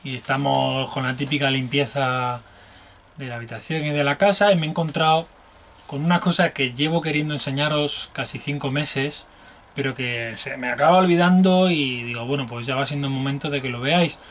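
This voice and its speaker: A man's voice: medium pitch, normal speed, fairly bright tone, a little hiss or hum. A man in his thirties from Spain with a Spanish accent, chatting informally as he hosts a casual unboxing video at home. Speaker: video host showing collectibles. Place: small room at home, indoors.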